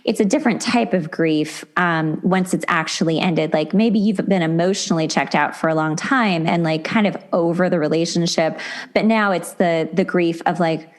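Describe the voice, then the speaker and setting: A woman's voice: lowish voice, moderate pace. A woman hosting a casual conversational interview in an informal, empathetic way. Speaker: podcast host.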